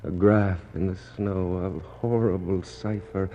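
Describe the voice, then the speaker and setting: An actor playing a doomed, starving polar expedition officer in a 1960s radio drama, despairing yet resolute, with slow, halting, incantatory delivery and a gravelly voice. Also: male voice, low voice, steady tone. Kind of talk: 1960s radio drama acting, poetic monologue. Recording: muffled, noisy 1960s radio recording.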